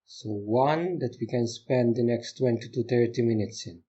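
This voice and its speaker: A man leading a guided meditation as a teacher, giving gentle instructions in short phrases with pauses. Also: medium pitch, slightly rough, highs cut off.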